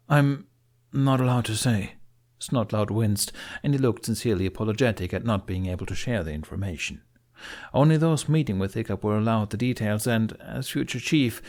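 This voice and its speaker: A man's voice: lowish voice, darkish tone. A man reading a fantasy story aloud as dramatic scripted audiobook narration.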